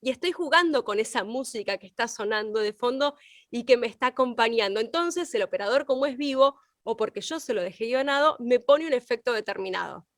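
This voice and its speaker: An Argentine woman teaching by example, expressive and illustrative as she explains. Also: on the high side, brisk, no echo, studio quality. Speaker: radio language teacher.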